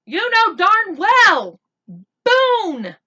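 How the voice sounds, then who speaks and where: A woman's voice: extremely high pitch, wildly swooping pitch, very slow, bright, forceful tone, muffled recording, voice pushed up, exaggerated voice. A woman voicing an angry, exasperated young boy in an emphatic children's storybook read-aloud. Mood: indignant. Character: angry, indignant young boy accusing his friend.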